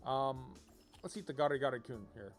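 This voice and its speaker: A man's voice: medium pitch, a little slow, faint music behind. A man giving casual livestream game commentary, rambling as he thinks aloud. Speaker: game streamer.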